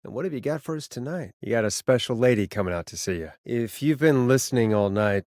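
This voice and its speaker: A man's voice: medium pitch, animated, quick pace, a little mellow, dry recording, studio quality, natural voice. A man acting an earnest, wry late-night radio DJ caught in a crisis in a video game, talking conversationally and sometimes hesitantly. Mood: smooth on-air patter. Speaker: radio host.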